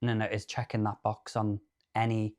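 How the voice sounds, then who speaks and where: A man in his thirties co-hosting a podcast, chatting in an animated, campy way. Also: on the low side, normal speed. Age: 30s. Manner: animated, chatty, camp asides. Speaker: podcast co-host. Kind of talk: casual chat.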